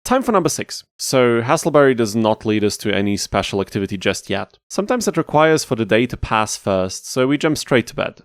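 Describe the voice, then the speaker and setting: A man hosts a scripted gameplay commentary in a medium-pitched voice. His delivery is casual and wry, dropping into mock-exasperated asides. The sound is dry, with no room around it. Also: big pitch swings, brisk, hardly any pauses, smooth, no echo. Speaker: gaming video host.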